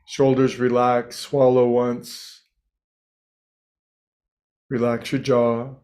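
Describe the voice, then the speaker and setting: A man leading a guided meditation as a teacher, speaking in a gentle, soothing way with frequent pauses. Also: mid-range voice, level tone.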